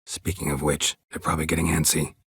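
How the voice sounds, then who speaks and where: An actor playing a stoic, sardonic monster hunter for hire in a video game, speaking tersely and deadpan in a gravelly, husky voice. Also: male voice, a bass voice, fast talker, exaggerated voice. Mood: dry, brisk. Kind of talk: video game character dialogue.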